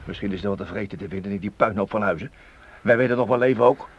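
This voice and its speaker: An actor in a radio drama playing a hungry, rough-spoken soldier, hurried and colloquial. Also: male voice, fairly low pitch, lightly acted. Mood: tense. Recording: dull old radio play recording, noisy.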